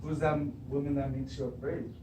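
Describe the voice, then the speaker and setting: A man's voice: medium pitch, level tone, brisk, mellow, natural voice. Man as a plain-spoken messenger, distant, noisy recording. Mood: matter-of-fact. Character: plain-spoken male messenger, former shepherd, eager with news. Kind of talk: beginning drama class readers theatre. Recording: echoey room, distant mic, clearly noisy.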